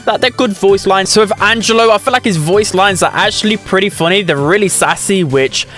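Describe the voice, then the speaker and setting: A man with a fairly high voice hosts a gaming video. He gives casual, opinionated commentary, with music playing behind him. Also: brisk, a bit bright.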